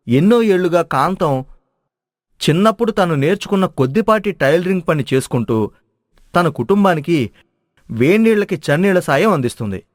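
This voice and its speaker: A man's voice: on the high side, no room sound, studio quality. A man narrating a scripted moral story.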